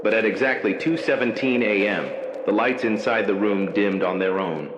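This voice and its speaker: A man playing a haunted night-shift hospital worker, narrating a scripted horror story in slow, measured, ominous phrases. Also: fairly low pitch, echoey room, dull audio, background noise, close to an everyday voice. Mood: grave, uneasy.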